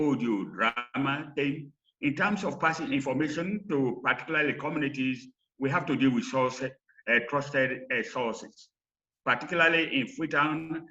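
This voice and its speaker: A man from Sierra Leone with a Sierra Leonean accent, presenting recommendations in a halting, emphatic lecture style over lo-fi call audio. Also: mid-range voice, sharp tone. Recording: dull, lo-fi online call audio.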